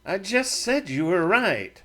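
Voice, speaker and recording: A man's voice: high voice, very animated, slow pace, a crowd in the background, voice pushed up, exaggerated voice. A man voicing a fussy, talkative frontier man who gets defensive when he argues with his partner.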